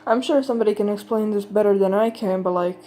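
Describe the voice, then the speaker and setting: A woman's voice: medium pitch, mellow, soft background music. Exasperated, swearing woman reacting offhand. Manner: profane, exasperated, halting. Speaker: reaction video commentator. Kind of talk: casual, unscripted reaction commentary.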